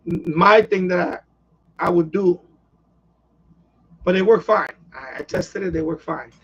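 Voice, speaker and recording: A man's voice: sits high, a little slow, fairly bright tone, breathy edge, lo-fi sound. A man hosting a hobby live stream, chatting casually in a rambling way and often repeating himself.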